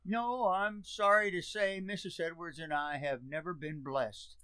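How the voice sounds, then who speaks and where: Man as stuffy civic bigwig, formal, long-winded, high voice, very dry sound, radio comedy. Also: fairly even intonation, a little slow, sharp tone, clear, resonant tone, no room sound. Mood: muddled, stiff. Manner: pompous, formal, easily muddled. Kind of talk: radio comedy theater acting.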